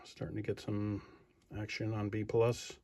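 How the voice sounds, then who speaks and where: A man's voice: on the low side, completely flat delivery, normal speed, darkish tone. A man giving casual running commentary as he works on electronics, thinking aloud in short muttered observations. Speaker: hobbyist radio restorer. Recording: noticeable electrical hum and noise.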